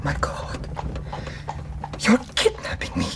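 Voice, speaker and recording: An actor playing an anxious, well-spoken Victorian gentleman in a radio drama, formal and precise in manner. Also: slow, noisy background, lightly acted. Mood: alarmed, indignant.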